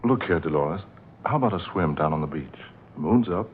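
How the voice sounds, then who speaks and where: An actor playing a breezy, doting young fiancé in an old-time radio drama, exclamatory, incredulous and reassuring. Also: male voice, low-pitched, lively intonation, a little fast. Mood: cheerful. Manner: exclamatory, dismissive, affectionate delivery. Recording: tinny, noisy old radio recording.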